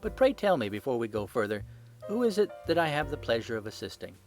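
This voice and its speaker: A man voicing a shrewd gentleman detective in a storybook, bland, courteous and precise. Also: medium pitch, very animated, fast, faint music behind. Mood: bland, assured. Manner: bland, precise, self-assured.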